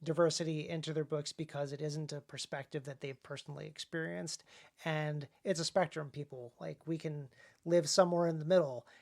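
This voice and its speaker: An American man hosting a casual discussion as an author, thinking aloud in a halting way with emphatic stresses. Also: a little high, fairly even intonation, creaky, a little mellow. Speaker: podcast host and author.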